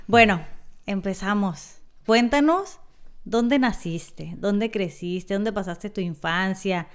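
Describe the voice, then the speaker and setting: A woman in her thirties hosting a casual podcast interview, chatty and animated, on a studio mic in a small studio room. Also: medium pitch, slow pace, clean tone. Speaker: podcast host. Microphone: studio mic on boom arm, headphones.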